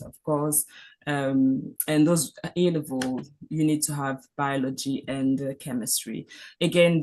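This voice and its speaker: Low-voiced woman. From Cameroon. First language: French. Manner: earnest, with frequent restarts and repeated words. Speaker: physician on a career panel. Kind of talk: career advice presentation.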